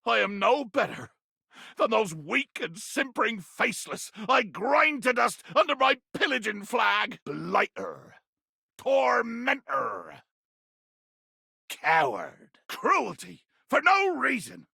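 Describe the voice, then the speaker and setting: An actor playing a tiny, fierce, pompous pirate captain in a video game, with a raspy, gravelly voice and grandiloquent, blustering delivery. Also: male voice, very high-pitched, big pitch swings, slow pace, bright, forceful tone, dry recording, studio quality, heavily acted. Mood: bitter, anguished. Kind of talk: theatrical video game character acting.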